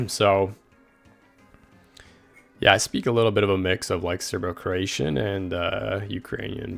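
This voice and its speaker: A young man with a low, gravelly voice talks loosely while streaming a game. His talk is relaxed and rambling, full of fillers as he answers chat. Faint game music runs underneath. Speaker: gaming livestreamer. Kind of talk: casual livestream chat.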